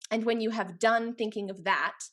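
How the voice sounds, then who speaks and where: Woman acting a high-pitched capricious young heiress. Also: fairly even intonation, normal speed, fairly bright tone. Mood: imperious, flippant. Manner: haughty, playful, theatrical. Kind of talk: Restoration stage comedy acting.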